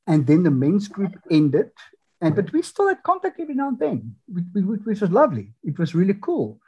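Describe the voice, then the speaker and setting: A man hosting a podcast, chatting casually and reacting with quick, enthusiastic exclamations. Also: high voice, huge pitch swings, darkish tone, husky, muffled recording. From South Africa. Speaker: podcast host. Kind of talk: casual interview chat.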